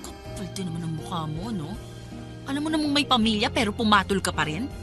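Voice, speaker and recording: A woman playing an indignant, jealous, sharp-tongued partner in a Filipino radio drama. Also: medium pitch, very animated, a little fast, music in the background, lightly acted.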